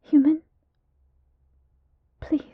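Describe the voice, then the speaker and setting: Woman voicing a whispery, gentle forest dryad. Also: phone-quality sound, slight background noise. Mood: gentle, curious. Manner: soft, hushed, whispery, halting and caring. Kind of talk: intimate roleplay audio drama.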